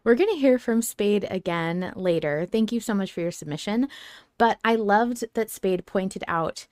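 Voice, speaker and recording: A woman hosting a fan podcast, chatting in an expressive, emphatic way. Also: mid-range voice, moderate pace, dry recording, studio quality. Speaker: podcast host. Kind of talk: enthusiastic fan podcast chat.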